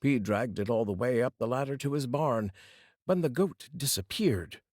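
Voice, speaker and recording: A man's voice: mid-range voice, animated, normal speed, darkish tone, no echo, studio quality. A man narrating a folk tale as a storyteller, reading aloud expressively.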